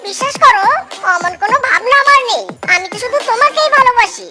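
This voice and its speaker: Cartoon fox thief, male, extremely high voice, boastful and wheedling, exclamatory delivery, loud music underneath. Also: lively intonation, quick pace. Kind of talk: Bangla children's cartoon voice acting. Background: children's music.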